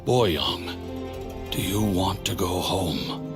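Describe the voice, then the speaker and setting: A confused dying warrior from a game, low halting voice, weary, music behind. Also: male voice, lively intonation, full character voice. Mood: weary, mournful. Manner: halting, weary, labored delivery. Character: dying, confused, amnesiac male Yaksha warrior. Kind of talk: dramatic video game acting.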